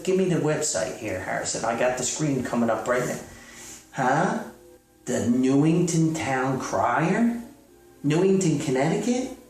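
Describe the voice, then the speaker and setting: A man's voice: fairly high pitch, pitched above the performer's own voice. A man playing a chipper, bumbling, delusional self-styled famous author in a comedy skit, stammering and rambling in a folksy, optimistic way. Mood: flustered. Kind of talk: improvised-sounding monologue comedy skit. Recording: echoey room, far from the mic.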